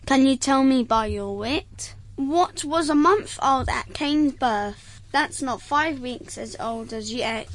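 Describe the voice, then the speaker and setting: A woman's voice: high voice, takes it slowly. A woman playing a stubborn, plain-spoken constable in a Shakespeare performance. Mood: insistent. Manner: stubborn, insistent. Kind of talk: Shakespeare stage acting.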